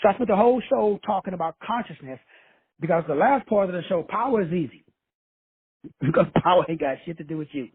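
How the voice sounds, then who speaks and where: A man's voice: high-pitched, animated, quick pace, breathy edge, no echo. A man from Texas hosting a call-in show, preaching profane motivation with swagger and slang. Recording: tinny phone line, dry.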